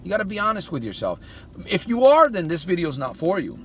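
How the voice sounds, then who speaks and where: A man's voice: high voice, wildly swooping pitch, fairly quick, fairly bright tone, slight background noise. A man giving a motivational monologue as a life coach. He keeps firing rhetorical questions at the listener and talks to them in a folksy way, calling them "my friend".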